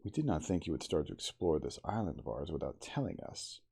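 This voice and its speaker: A man voicing a gruff, evasive man in an ASMR storybook reading, brusque and dismissive yet placating. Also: very deep voice, big pitch swings, a little fast, mellow, full character voice. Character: gruff, evasive man soothing a rattled guest.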